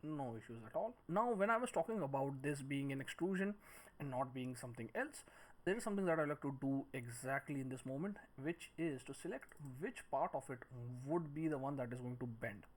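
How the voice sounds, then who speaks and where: A man's voice: mid-range voice, expressive, fairly quick, rough recording. A man giving a casual screen-recorded 3D modelling tutorial, talking through each step as he works. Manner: talks through each step while working, muttering counts and shortcuts. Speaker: 3D modelling instructor. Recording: clear background noise, keyboard and mouse clicks. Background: noise with typing and clicking.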